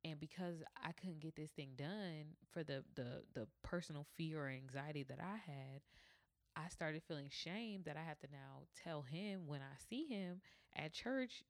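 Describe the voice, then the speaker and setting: Woman w a low gravelly voice just talking candidly on her podcast, dry close mic. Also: lively intonation, unhurried, no echo. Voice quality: deep voice. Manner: candid, conversational, acts out quoted dialogue. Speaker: podcast host. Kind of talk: personal storytelling monologue.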